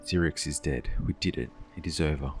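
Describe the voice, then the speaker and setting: A man voicing a gruff, weary stone fighter in a fantasy game, grave and deliberate, with a gravelly voice. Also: rumbling low, soft background music. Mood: weary, solemn.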